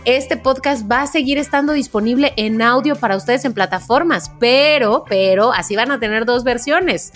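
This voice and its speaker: A woman's voice: fairly high pitch, normal speed, hardly any pauses, faint music behind. A woman with a Mexican accent co-hosting a podcast in casual, conversational talk. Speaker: podcast co-host. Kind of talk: casual chat among co-hosts.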